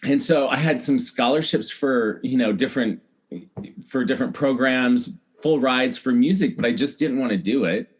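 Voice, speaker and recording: A man's voice: medium pitch, moderate pace, slightly rough. A middle-aged American man telling personal stories as a podcast guest, chatty and emphatic, often acting out what people said. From Iowa, United States. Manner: chatty, acts out quoted remarks, emphatic repetition. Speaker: podcast guest, celebrity hair stylist. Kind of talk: animated personal storytelling in a podcast interview.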